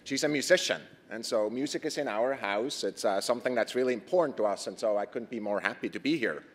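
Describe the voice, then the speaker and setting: Swiss guy giving a conference talk, mid voice, lots of uhs, echoey hall. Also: mid-range voice. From Switzerland. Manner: earnest, asks rhetorical questions, pauses on fillers. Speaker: conference presenter. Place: conference stage. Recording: reverberant lecture hall.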